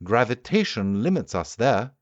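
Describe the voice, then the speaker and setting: A man voicing an eager, assured Victorian gentleman inventor lecturing his guests in an emphatic, declamatory way, as in an audiobook. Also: mid-range voice, very animated, normal speed, no room sound, muffled recording, pitched above the performer's own voice, natural voice. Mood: challenging, assured. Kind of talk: audiobook character acting.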